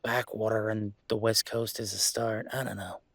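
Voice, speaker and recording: A man playing a young werewolf boyfriend in an intimate audio roleplay, talking in a halting, rambling, conversational way with a gravelly creak. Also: on the low side, level tone, dry recording. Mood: pensive, wry. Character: young male werewolf boyfriend, hesitant, affectionate, weighing a move.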